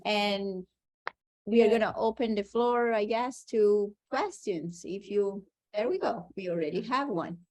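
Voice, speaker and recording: A woman's voice: medium pitch, unhurried, darkish tone. A woman moderating a conference panel, playful and teasing, laughing easily. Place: conference room.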